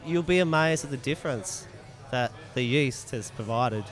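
A man's voice: mid-range voice, normal speed. A man chatting casually as an interview guest, explaining his craft informally and repeating phrases for emphasis. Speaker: podcast guest, mead maker.

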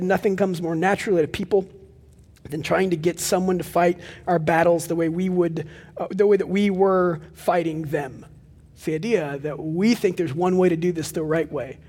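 A young man preaching a sermon as pastor of a church, with a loud, projecting voice and animated storytelling asides. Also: high voice, long reverb tail. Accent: Texan. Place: large church hall with live audience.

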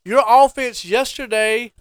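A man's voice: extremely high pitch, measured pace, smooth, dry recording, clean studio sound. A man co-hosting casual sports banter, teasing and challenging with questions. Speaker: podcast co-host.

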